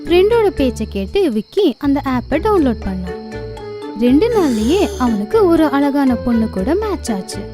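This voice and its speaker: Lively high-voiced woman narrator. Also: normal speed. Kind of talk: scripted storybook narration. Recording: music bed behind.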